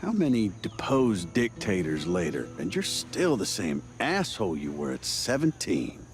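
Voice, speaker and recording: A man acting a jovial, wisecracking middle-aged fixer in a video game, with a teasing, folksy, expressive delivery. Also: on the low side, unhurried, music in the background, heavily acted. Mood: ribbing.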